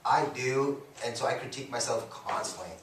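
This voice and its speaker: A man co-hosting a local radio talk show, talking in a laid-back, teasing way and quick to laugh. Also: medium pitch. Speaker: radio co-host. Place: radio studio. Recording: echoey room, distant mic, faint noise.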